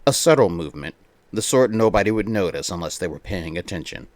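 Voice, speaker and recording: A man with a fairly low voice reads a visual novel aloud on a livestream. His delivery swings widely in pitch, theatrical and playful, moving between narration and banter. The sound is close and dry, with little room in it. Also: lively intonation, fairly quick, a little mellow, no echo.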